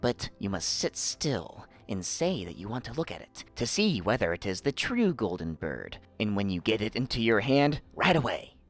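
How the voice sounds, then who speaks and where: A man's voice: lowish voice, sing-song, moderate pace, few pauses, soft background music. A man voicing a cunning old fox who gives wise, emphatic counsel in a storybook reading. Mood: grave counsel. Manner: instructing, emphatic.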